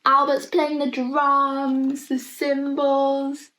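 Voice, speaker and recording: High-voiced, unhurried woman reading a storybook. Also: low-quality recording. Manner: expressive, playful, addresses the listener with questions. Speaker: storybook reader for young children. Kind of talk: read-aloud storytime with chatty asides about the pictures. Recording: noticeable background noise, home online recording.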